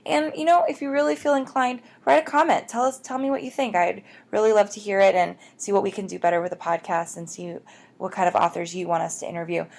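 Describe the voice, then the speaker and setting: A woman's voice: mid-range voice, vocal fry. A woman hosting a book podcast, talking to listeners in a bubbly, chatty way and calling them guys. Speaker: podcast host. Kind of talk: upbeat podcast intro and promo.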